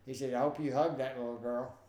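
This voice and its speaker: An older man with a nasal voice, telling stories in an animated way as a guest in a conversation. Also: mid-range voice, fast. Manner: animated, acts out quoted voices. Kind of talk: storytelling in a conversation. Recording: roomy with faint ambience.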